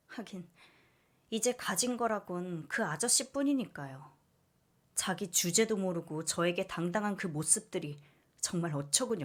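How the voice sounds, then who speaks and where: A woman reading a scripted first-person story as its narrator. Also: on the low side.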